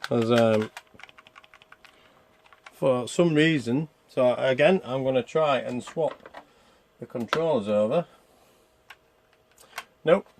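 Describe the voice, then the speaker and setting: A man talks through a video game in a casual, chatty way. His mid-range voice has a noticeable creak to it. He thinks aloud as he goes, often putting questions to himself. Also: medium pitch, slight background noise. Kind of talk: casual gameplay commentary.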